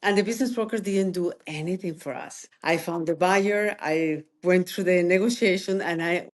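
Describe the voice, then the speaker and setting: Colombian-accented businesswoman, lively guest. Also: on the low side, normal speed. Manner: energetic, talks in bursts with non-native phrasing. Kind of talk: interview answers.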